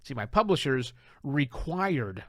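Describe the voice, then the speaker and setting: A middle-aged man hosting a podcast, delivering a conversational advice monologue with an emphatic storyteller's delivery and dramatic pauses. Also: mid-range voice, very animated, fairly bright tone, dry recording, studio quality. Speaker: podcast host.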